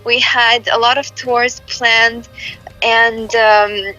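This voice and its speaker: A woman's voice: a little high, level tone, extremely slow, bright, forceful tone, faint music behind, poor audio. A woman from Russia, a singer, chatting in a friendly, easy way in an interview and laughing often. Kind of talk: casual interview chat.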